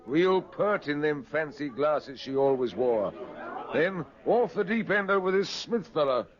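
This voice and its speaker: An actor playing a terse, disapproving village pub landlord in a 1950s radio drama, with folksy clipped phrasing. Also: male voice, on the high side, animated, measured pace. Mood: gossipy, disapproving. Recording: muffled old radio recording.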